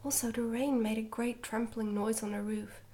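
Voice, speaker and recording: A woman reads a story aloud for ASMR. Her voice is whispered and breathy, sitting slightly high. She tells the tale gently and carefully, keeping everything soft and hushed. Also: steady tone, normal speed. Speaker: ASMR book reader.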